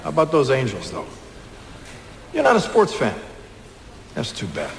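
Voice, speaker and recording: A man's voice: mid-range voice, wildly swooping pitch, moderate pace, creaky, a little hiss or hum. An actor playing a shrewd, wry police detective in a crime audio drama, dry and understated as he probes. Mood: dry needling.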